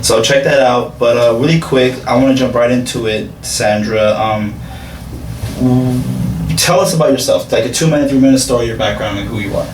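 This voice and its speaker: A man's voice: mid-range voice, moderate pace, noisy background. Man hosting an interview in a roomy room. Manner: chatty, talks to viewers as guys. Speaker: interviewer and show host. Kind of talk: casual interview. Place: home craft room. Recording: roomy, distant camera sound.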